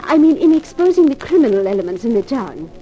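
Coy nightclub dancer, high lilting voice. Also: female voice, quick pace, highs cut off. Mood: coy, admiring. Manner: coy, flattering. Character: alluring female nightclub adagio dancer, admiring and coy. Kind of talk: 1950s radio drama acting. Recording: mellow vintage radio recording, faint music.

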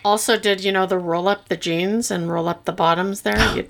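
A woman's voice: medium pitch, steady tone, a little fast, vocal fry. A middle-aged woman from Canada, a podcast guest telling her life story in an earnest, reflective, self-deprecating way. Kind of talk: casual interview chat.

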